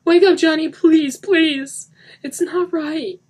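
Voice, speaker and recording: A woman's voice: high-pitched, fairly even intonation, slow, voice pushed up. A woman reader voicing a grief-stricken teenage boy who screams and sobs in despair. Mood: wailing, screaming. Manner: screaming, sobbing wails. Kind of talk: amateur story reading.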